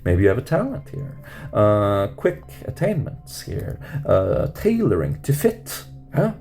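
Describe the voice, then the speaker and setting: A man teaching meditation off the cuff, rambling with many fillers and restarts and lifting his pitch at the end of questions. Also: wildly swooping pitch, unhurried, creaky, darkish tone. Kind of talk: unscripted teaching talk. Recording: music playing under voice. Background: guitar music.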